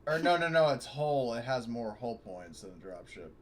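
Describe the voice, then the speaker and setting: A man playing a tabletop game over a voice call, chatting casually in a halting, sweary way. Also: medium pitch, sing-song. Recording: noisy online voice call.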